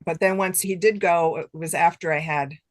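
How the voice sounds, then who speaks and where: A woman's voice: on the low side, fairly even intonation, normal speed. An older woman chatting casually and informally as a guest author in an interview, with a giggly streak. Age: late 50s to 60s.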